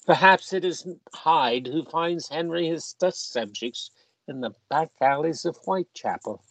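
An actor playing a grave, worried gentleman in a radio play, solemn and measured, with a husky voice. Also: on the high side, no room sound, rough recording. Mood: grave foreboding.

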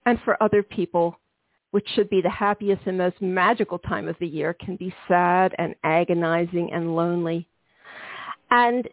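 A woman hosting a healing-themed interview podcast, her voice a little gravelly, talking chattily with frequent ums and soft laughs. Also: medium pitch, dry recording. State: slightly gravelly voice. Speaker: podcast host. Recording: dry, tinny phone-like sound.

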